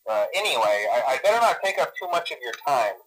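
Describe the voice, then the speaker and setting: A man's voice: mid-range voice, sing-song, quick pace, a bit bright, poor audio. An actor playing a nervous, chipper male company employee who leaves rambling, stammering, awkwardly reassuring training messages through a telephone filter. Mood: nervous backpedaling. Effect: telephone voicemail filter. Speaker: phone guide to a night watchman. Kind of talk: recorded phone training message.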